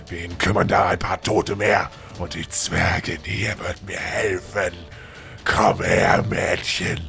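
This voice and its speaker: Gravelly, power-mad monster nobleman. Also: low voice, bright, forceful tone, full character voice. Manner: menacing, sneering, bellowing. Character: monstrous, power-mad old nobleman turned hulking fiend. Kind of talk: fantasy audio drama acting.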